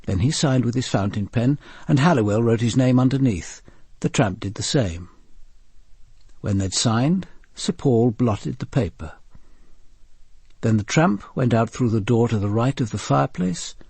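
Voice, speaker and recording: An actor playing a grave, probing senior police detective, narrating a radio drama with a gravelly, husky voice. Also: male voice, medium pitch, animated, measured pace, a little mellow, dry recording, exaggerated voice. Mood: measured recounting. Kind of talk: dramatic scripted first-person narration.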